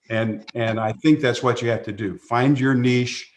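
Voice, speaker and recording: A man's voice: mid-range voice, a little slow. A man speaking as a panelist on a video call, folksy and rambling, with many restarts.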